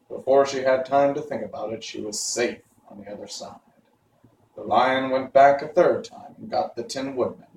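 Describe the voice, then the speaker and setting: A man narrates a children's story at a medium pitch. The recording is roomy and slightly distant, with faint background noise. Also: darkish tone. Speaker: story reader. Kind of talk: reading a children's novel aloud.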